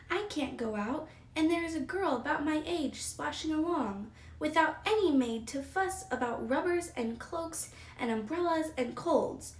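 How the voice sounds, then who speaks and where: A woman playing a spoiled, discontented little rich girl of ten in a storybook reading. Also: high-pitched. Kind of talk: scripted character reading. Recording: roomy, distant mic, echo.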